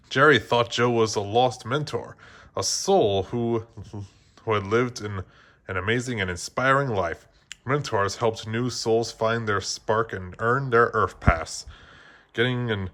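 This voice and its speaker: A man's voice: mid-range voice, a bit bright. A man reading a children's storybook aloud as narrator, often stumbling and restarting his phrases. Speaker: storybook reader.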